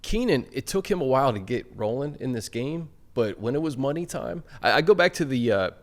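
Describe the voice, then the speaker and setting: A man's voice: mid-range voice, lively intonation, brisk. A man hosting a casual sports podcast, conversational, recounting things in short clipped phrases. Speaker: podcast host.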